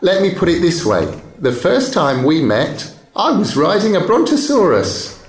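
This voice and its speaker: A man's voice: high voice, huge pitch swings, measured pace, pitched above the performer's own voice, full character voice. A man reads as a very old woman who sells books in a storybook. The delivery is wry and playful, lifted above the narration, with a roomy echo. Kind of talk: audiobook character dialogue.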